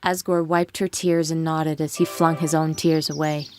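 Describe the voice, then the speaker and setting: A woman serves as narrator of a dramatic fan fiction reading. Her voice is fairly low for a woman. She reads from a script with music playing faintly underneath. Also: flat delivery, normal speed, darkish tone. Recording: music bed with birdsong behind.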